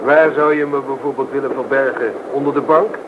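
Thin, very noisy radio drama sound. A male actor as a captured criminal, medium in pitch, who needles his captors with cocky assurance. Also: fairly even intonation, a little fast, close to an everyday voice. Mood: cocky, taunting. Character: cocky, taunting male criminal under arrest. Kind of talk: radio drama acting in Dutch. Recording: tinny, noisy old radio play recording.

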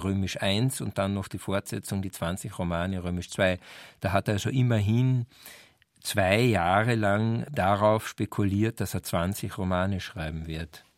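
A man's voice: low-pitched, no echo, clean studio sound. A man speaking as a literary scholar, explaining and enumerating point by point. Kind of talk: explanatory talk on a novel's history.

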